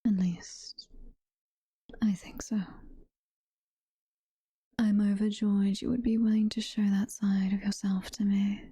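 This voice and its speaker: A woman's voice: mid-range voice, little pitch movement, no room sound. Woman acting a whispering, doting British demon girlfriend. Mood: tender, grateful. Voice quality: breathy, soft-spoken whisper. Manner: slow, tender, coaxing. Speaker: ASMR roleplay performer. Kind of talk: whispered ASMR roleplay. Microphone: close ASMR microphone.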